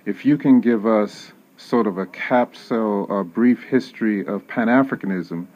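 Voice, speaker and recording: Low-voiced male interviewer asking questions. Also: moderate pace, a little mellow. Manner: brief, formal introductions and questions. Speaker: interviewer, host. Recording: dull lo-fi audio.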